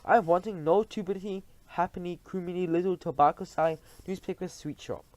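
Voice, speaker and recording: Man putting on a tiny furious witch queen, shrieking, bossy, stilted grammar, raised pitch, loud, swooping. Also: very animated, moderate pace, dry recording. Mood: commanding. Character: tiny, tyrannical, furious female witch leader. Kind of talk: reading a storybook aloud.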